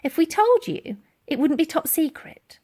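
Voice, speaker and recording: A bold young storybook girl acted by a woman, cheeky and ready with a fib. Her voice is high and pert, with emphatic, swooping delivery. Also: very animated, normal speed, dark tone. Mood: cheeky, defiant. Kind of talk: children's storybook character voice.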